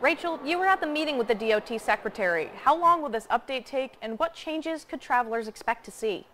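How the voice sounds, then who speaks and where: Young woman anchoring the news, slightly high voice. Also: lively intonation, brisk, a bit bright, slight background noise. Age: young adult. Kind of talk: scripted news reading. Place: TV news studio.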